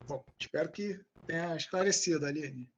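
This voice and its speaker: A high-voiced man speaks as an invited expert on a panel. He thinks aloud, repeating words as he explains, over a slightly noisy line with clicks. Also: normal speed. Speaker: invited agronomy expert answering questions. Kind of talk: online academic panel, informal answers.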